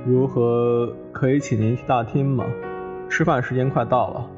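A man's voice: medium pitch, fairly even intonation, normal speed, dull audio, close to an everyday voice. Man acting courteous middle-aged host, music behind. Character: courteous, solemn middle-aged brother hosting family guests. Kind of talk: Chinese radio drama acting.

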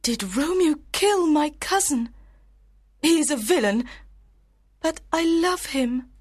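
A woman's voice: high-pitched, animated, slow pace, no room sound, clean studio sound. A woman playing a passionate, despairing young bride with emotional delivery in an audiobook tragedy. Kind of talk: dramatised audiobook of a classic tragedy.

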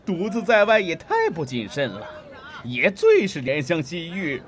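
An actor playing a lecherous, leering street thug in a Chinese martial-arts audio drama, oily and exaggerated. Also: male voice, on the high side, wildly swooping pitch, measured pace, a faint crowd.

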